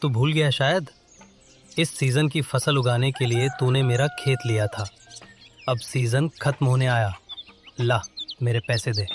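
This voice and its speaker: A man plays a greedy cartoon landlord from the village. He is brusque and demanding, pushing people around with threats in a mid-pitched voice, with light music underneath. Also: steady tone, a little slow, pauses often, lightly acted. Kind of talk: Hindi animated moral story dubbing.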